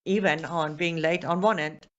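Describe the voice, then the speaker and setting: Woman host, Persian accent, chatty and animated on a live stream, lots of fillers. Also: deep voice, moderate pace, dull audio. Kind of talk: casual live Q and A, reading viewer comments.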